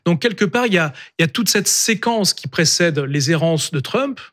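Man, panel expert, slightly high, measured explanatory delivery. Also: expressive, fairly bright tone. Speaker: panel guest. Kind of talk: TV panel discussion.

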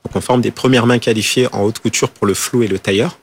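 French man, lowish voice, fashion designer, halting interview replies. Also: fairly even intonation, breathy edge. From France. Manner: hesitant, many fillers and restarts. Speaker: fashion designer and school founder. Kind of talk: interview answers. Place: Paris region.

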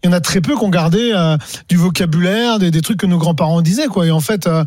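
A man chatting on a radio talk show in a rambling, animated way, close to a studio microphone. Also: sits high, fast talker, dry recording. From France. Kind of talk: casual radio chat.

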